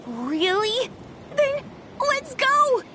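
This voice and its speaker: A woman's voice: very high-pitched, very animated, very slow, heavy background noise, exaggerated voice. A woman voicing a small, eager child companion in a video game, chirpy and theatrical. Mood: upbeat, hopeful.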